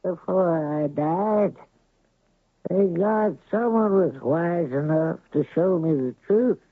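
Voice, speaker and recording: An actor playing a grateful ranch owner in an old radio drama, speaking slowly and earnestly. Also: male voice, on the high side, husky, no echo. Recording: tinny lo-fi old radio broadcast.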